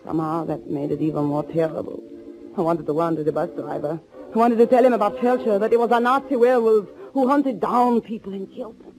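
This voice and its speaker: A woman's voice: medium pitch, brisk, darkish tone, faint music behind, close to an everyday voice. An actress playing an anguished young woman in a 1940s radio thriller, speaking in a dramatic, fearful way. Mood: tense narration. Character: anguished young woman fleeing to avenge her lover. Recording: noisy 1940s radio recording.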